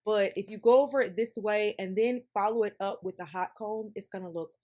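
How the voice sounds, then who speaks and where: A woman's voice: medium pitch, fairly quick, mellow. A woman narrating a wig tutorial in an upbeat, chatty voice-over, calling out to her viewers. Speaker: hair vlogger.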